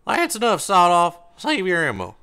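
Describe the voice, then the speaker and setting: A man's voice: sits high, huge pitch swings, moderate pace, bright, forceful tone, smooth, voice pushed up, heavily acted. A man voicing a bossy slaver pony in an audiobook, giving curt, commanding orders over music. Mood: stern. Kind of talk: audiobook character voice.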